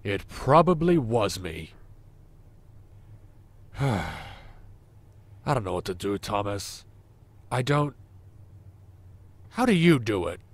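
An actor playing a crude, sarcastic, unhappily married boss in an audio drama, with deadpan delivery. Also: male voice, on the low side, slow, long pauses, no echo, exaggerated voice. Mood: glum.

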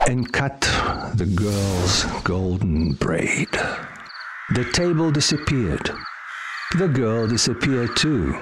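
Slow-paced male fairy tale narrator. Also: lowish voice, background noise. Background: music.

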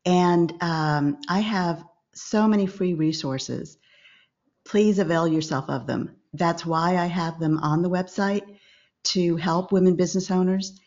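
Older female business coach, low calm voice, measured and instructive, slightly dull video-call sound. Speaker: podcast guest, business coach. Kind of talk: interview over video call.

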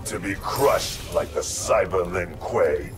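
An actor playing a stern, vengeful ninja warrior in a video game, grave, terse and threatening. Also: male voice, deep voice, takes it slowly, distant mic, loud music behind the voice. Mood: menacing. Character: stern vengeful male ninja warrior, honor-bound and menacing. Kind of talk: video game fight dialogue.

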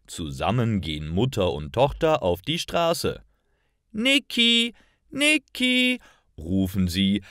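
A man reading stories for language learners in a careful, clearly articulated and expressive way. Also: low voice, no room sound, studio quality. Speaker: narrator of stories for German learners.

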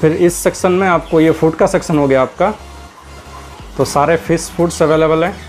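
A man's voice: a little high, fairly even intonation, moderate pace, faint music behind. A man, casually narrating a walk through an aquarium and pet shop, chatting to viewers as he points out stock and prices. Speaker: aquarium hobbyist vlogger.

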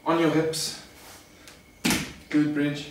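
A man's voice: a little high, completely flat delivery, very slow. A young man coaching a workout demonstration in a casual, instructional manner. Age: young adult. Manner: casual, instructional, addressing viewers as guys. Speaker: fitness coach. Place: garage home gym. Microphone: camera a few metres away. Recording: echoey garage, distant mic, faint noise.

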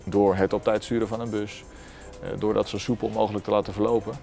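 Male airport controller, thirties, low voice, orderly documentary explanation over faint music. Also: little pitch movement, fast, gravelly, warm tone. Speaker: apron controller, bus coordinator. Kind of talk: documentary interview about work. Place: glass-walled airport control tower office.

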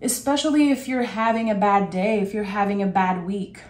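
A woman with a raspy voice giving a gentle, soothing tarot reading. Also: mid-range voice, fairly even intonation, moderate pace, echoey room. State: raspy voice today. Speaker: tarot reader.

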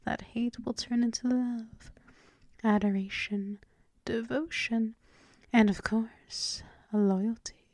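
A woman's voice: fairly high pitch, no echo, clean studio sound. A woman playing a dominant, teasing vampire mistress in an intimate ASMR roleplay, whispering breathily and lingering over repeated endearments. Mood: possessive, promising. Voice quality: breathy whisper mixed with soft voiced speech. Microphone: close microphone.

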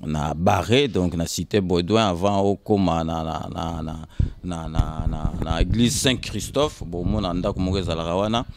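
A man in his fifties from Kinshasa with a Congolese accent, answering casually in short, halting, repeated phrases. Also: deep voice. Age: 50s. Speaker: interview guest. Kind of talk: casual interview storytelling, switching between Lingala and French. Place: indoors on a sofa. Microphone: handheld microphone held by interviewer.

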